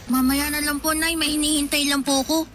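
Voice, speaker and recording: A woman's voice: high voice, level tone, brisk, close to an everyday voice. A woman voicing a shy, polite teenage boy in a radio drama, bashful and eager as he waits by the phone. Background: music.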